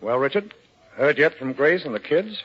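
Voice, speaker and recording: An actor playing a genial, opinionated middle-aged doctor, wry and blunt, in the style of 1940s radio drama. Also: male voice, fairly high pitch, expressive, normal speed, natural voice. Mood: teasing. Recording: muffled, noisy 1940s radio recording.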